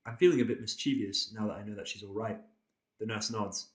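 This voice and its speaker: A man's voice: fairly low pitch, steady tone, a little fast. A man reading scripted first-person narration for an audio drama.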